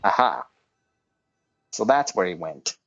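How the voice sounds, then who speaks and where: A man's voice: sits low, big pitch swings, takes it slowly, fairly bright tone, no room sound, soft background music. A man casually commenting as he plays a game on a livestream. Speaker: game streamer. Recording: slightly dull, band-limited sound.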